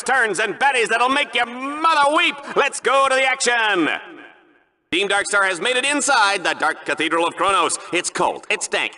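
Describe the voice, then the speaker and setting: Cocky sci-fi game show announcer for a video game, mean and gleeful, super forceful, pitch jumping all over, big showy hype. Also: male voice, heavily acted. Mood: gleefully menacing. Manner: showy, mocking, theatrical hype. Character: bombastic, gleefully cruel male game-show announcer in science fiction. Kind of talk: over-the-top arena sports commentary for a video game.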